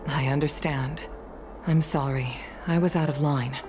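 Deep-voiced, wistful mature woman. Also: steady tone, measured pace, music in the background. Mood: apologetic, reflective. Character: mature fox-eared woman, retired pilot, courteous and wistful. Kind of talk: video game voice acting.

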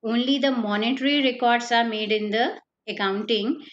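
Woman teacher, higher voice, patient and repetitive lecturing, lo-fi online class mic. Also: fairly even intonation, normal speed. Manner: explains step by step, repeats key points, asks "right?". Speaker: accountancy teacher. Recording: slightly dull lo-fi online class audio with faint noise.

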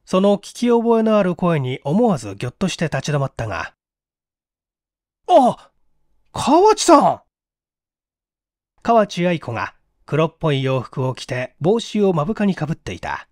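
A man's voice: on the high side, big pitch swings, fairly quick, few pauses, darkish tone, no room sound, studio quality. A man reading a literary mystery story aloud as an audiobook narrator. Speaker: audiobook reader.

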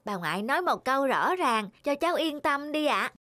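A woman playing a plucky, inquisitive, mischievous little granddaughter in an animated film, polite but insistent. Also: high-pitched, moderate pace, dry recording, heavily acted. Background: music.

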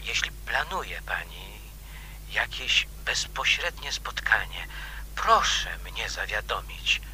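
An actor playing a watchful, formal man giving urgent warnings in a radio drama, with a husky, sometimes hushed voice. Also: fairly low pitch, sing-song, slow pace, slight background noise, exaggerated voice, low-quality recording. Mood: grave warning. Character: watchful man warning and protecting an amateur sleuth.

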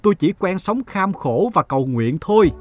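A man voicing a whining, scheming young beggar who pleads and flatters in a cartoon morality tale. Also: high-pitched, normal speed, pitched above the performer's own voice. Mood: pleading. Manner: pleading, ingratiating.

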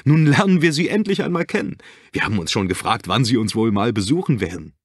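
Audiobook role: eager, chirpy young receptionist, lifted high and chatty male reader playing her. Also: animated, fast, husky, dry recording, studio quality. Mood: delighted. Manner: bright, gushing, chatty.

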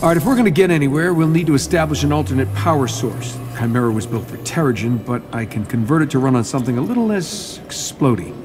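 An actor playing a cocky, wisecracking genius inventor hero in a video game, with glib, sarcastic delivery. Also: male voice, mid-range voice, lively intonation, fast talker, hardly any pauses, noisy background, natural voice. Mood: brisk, confident. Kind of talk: video game cutscene acting.

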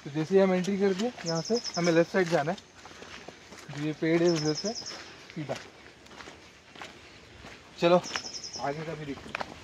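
A man's voice: a little high, steady tone, normal speed, long pauses, background noise. A man vlogging outdoors, explaining directions step by step as he walks a rural trail. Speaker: travel vlogger. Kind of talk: casual guide to a trail.

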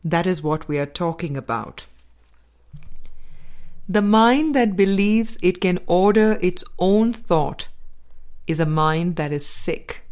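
A woman reading a spiritual book aloud as a scripted audiobook, measured and deliberate, pausing between phrases. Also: medium pitch, a little mellow. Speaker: audiobook reader.

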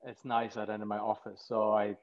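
A man's voice: on the low side, completely flat delivery, quick pace. A middle-aged man from Germany with a German accent, a vet chatting as an interview guest from his home, joking and telling stories. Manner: jokey, chatty storytelling with frequent fillers. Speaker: veterinarian guest. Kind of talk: casual video-call interview. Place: home living room. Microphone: video call webcam. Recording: slightly dull video-call audio.

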